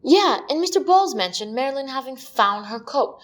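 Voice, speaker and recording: A woman's voice: high voice, animated, moderate pace. A woman playing a cheeky, earnest young lad helping investigate in an amateur comedy murder mystery, chatty and colloquial.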